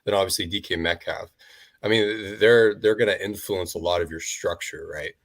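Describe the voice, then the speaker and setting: Very deep-voiced podcast co-host. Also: male voice, steady tone, fairly bright tone. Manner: halting restarts, loose questions to the guest. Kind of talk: casual podcast chat about football.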